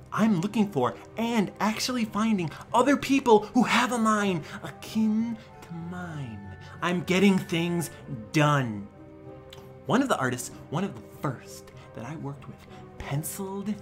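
Young guy doing a self-important touchy internet ranter, super dramatic, pitch all over the place, music behind. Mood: solemn, self-important. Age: young adult. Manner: theatrical, swinging from breathy whispers to shouting. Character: smug, defensive, self-important man ranting on a forum. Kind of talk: over-the-top dramatic reading. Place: small room at home.

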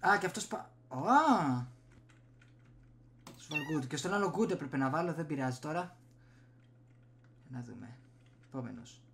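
A man's voice: on the high side, expressive, vocal fry, long pauses, a bit bright, slight background noise. A young man giving casual gameplay commentary, muttering and reacting in short bursts. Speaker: gaming streamer. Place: small room indoors at home. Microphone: desk USB microphone.

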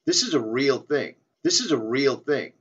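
A man's voice: mid-range voice, expressive, moderate pace, fairly bright tone, breathy edge. A man rambling insistently in a casual monologue, repeating himself and stumbling as he reads aloud. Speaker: flat earth video maker. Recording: dull lo-fi audio.

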